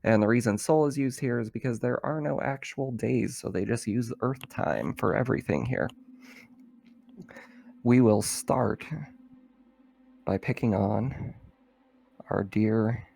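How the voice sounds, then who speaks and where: A man running an online tabletop roleplaying game as game master, informal and halting as he sets the scene. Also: medium pitch, gravelly, darkish tone, husky.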